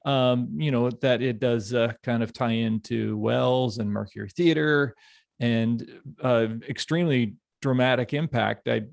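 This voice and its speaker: Guy hosting a podcast, gravelly voice, halting casual talk, real dry sound. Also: medium pitch, dry recording. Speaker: podcast host. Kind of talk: casual discussion.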